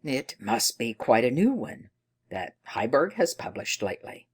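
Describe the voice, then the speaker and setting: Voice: mid-range voice, very animated, measured pace, husky. A narrator voicing a stuffy, learned, anxious male counselor in a storybook, speaking formally. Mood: pompous, curious.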